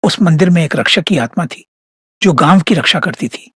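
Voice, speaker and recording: A man playing a grave old village priest in a horror story, with a rough, husky voice and an ominous manner. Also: a little high, expressive, moderate pace, fairly bright tone, no echo, clean studio sound. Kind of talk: dramatized horror story dialogue.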